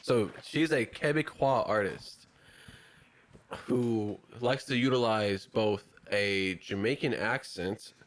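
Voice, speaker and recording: A man's voice: on the low side, takes it slowly. A man hosting a casual podcast chat, loose and profane, often breaking into laughter. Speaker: podcast host.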